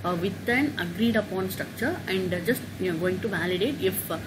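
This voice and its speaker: Woman, lowish voice, software tutorial, halting with fillers, noisy recording. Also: lively intonation. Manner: halting, many "uh" and "okay" fillers. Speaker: tutorial instructor.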